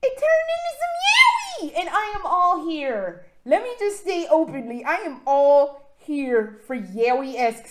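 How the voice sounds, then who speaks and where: A high-voiced woman recapping a strange video game in a casual vlog. She rambles, swears freely, and talks in an excitable rush. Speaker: gaming vlogger.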